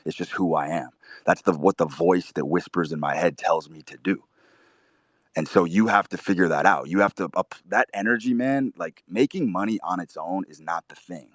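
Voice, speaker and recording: Very deep-voiced man, blunt and profane. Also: normal speed, no echo, clean studio sound. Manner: forceful, swears constantly, preachy. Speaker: show host. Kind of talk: blunt profane motivational talk.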